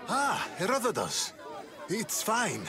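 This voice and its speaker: An actor playing a weary, dignified Athenian statesman in a game, speaking in a formal, wry, measured manner. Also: sits high, wildly swooping pitch, crowd noise, heavily acted. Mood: courteous, measured.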